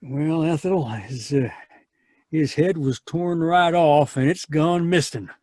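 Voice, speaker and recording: A man plays an easygoing country sheriff in a radio play. He hems and hesitates slowly in a slightly high male voice, folksy throughout. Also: darkish tone, dry recording, studio quality. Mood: folksy, hesitant. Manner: halting, hemming. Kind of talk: old-time radio drama acting.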